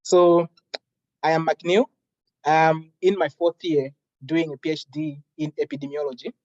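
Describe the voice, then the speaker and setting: A young man from Malawi leading a workshop, introducing himself in a relaxed, chatty way. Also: on the high side, unhurried, no echo, highs cut off. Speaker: workshop facilitator. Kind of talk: friendly classroom introduction.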